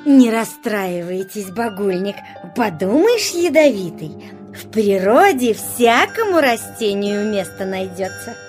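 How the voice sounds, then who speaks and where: An actress playing an eager, naive, kind-hearted little toadstool in a children's audio play. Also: female voice, medium pitch, takes it slowly, faint music behind. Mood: consoling. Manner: excitable, naive.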